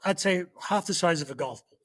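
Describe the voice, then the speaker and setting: A man in middle age, a chef, explains a dish one ingredient at a time, pausing often with ums as he goes. His voice sits a little high for a man, and the recording is dry and close, with no room sound at all. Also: no echo. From born in Kenya, grew up in London. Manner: relaxed, explains recipes step by step with many ums. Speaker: chef guest. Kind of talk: casual podcast interview.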